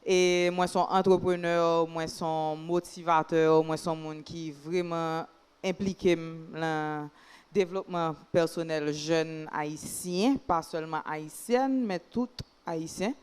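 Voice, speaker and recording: A woman's voice: deep voice, fairly even intonation, a little slow, darkish tone, clear, resonant tone. A Haitian woman in her thirties, a makeup artist and entrepreneur introducing herself on a panel in an upbeat way. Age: 30s. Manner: upbeat, laughs between remarks.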